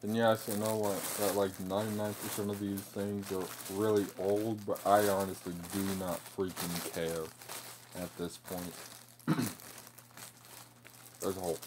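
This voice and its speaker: A man's voice: sits low, level tone, measured pace, long pauses, background noise. A teenage boy talking casually on his gaming channel, laughing and joking about his own voice. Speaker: youtuber.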